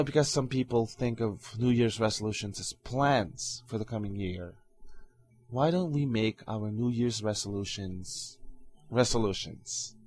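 A man hosting his own podcast, talking calmly and earnestly in a reflective solo monologue with soft fillers. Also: fairly low pitch. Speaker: podcast host.

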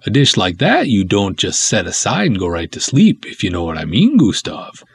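A man voicing an excitable, chatty cop who tells his tale in folksy slang for a serial story. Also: lowish voice, moderate pace, creaky, breathy edge, exaggerated voice. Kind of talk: dramatic serial reading.

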